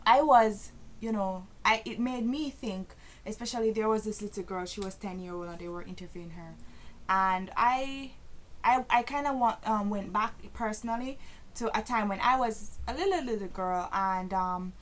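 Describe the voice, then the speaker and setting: A woman's voice: medium pitch, moderate pace. A Jamaican woman talking casually to camera, earnest and full of fillers and restarts, growing emphatic and preachy as she goes. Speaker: vlogger.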